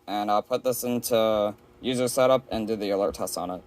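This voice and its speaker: A man's voice: lowish voice, a little fast. A man casually presenting his collection in a show-and-tell vlog, recorded at home. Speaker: collector presenting a weather radio shelf tour. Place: indoor room at home with a shelf of radios.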